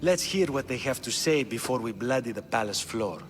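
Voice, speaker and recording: A man acting a shrewd, coolly authoritative Spartan king in a video game, speaking formally and deliberately. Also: medium pitch, expressive, a little hiss or hum, lightly acted. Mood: dry. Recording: reverberant stone hall, music underneath.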